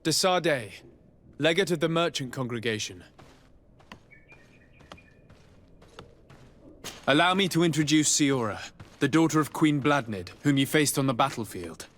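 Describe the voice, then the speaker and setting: A man acting a courteous, composed noble diplomat with a formal, measured manner in a video game. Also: a little high, expressive, fairly bright tone, slight background noise.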